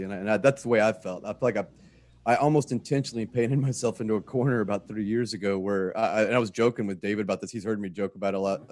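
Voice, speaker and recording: A man's voice: lowish voice, darkish tone, no echo. A man talking casually and earnestly to a group, swearing freely and often doubling back on his phrases. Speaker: writer and filmmaker. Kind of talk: casual heartfelt talk to a group.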